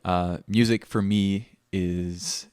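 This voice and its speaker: A man in his twenties from Minnesota, chatting casually in an interview, relaxed and thoughtful with frequent fillers. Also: low voice, slow pace, clean tone, no echo. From Minnesota, USA. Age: 20s. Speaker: pianist guest.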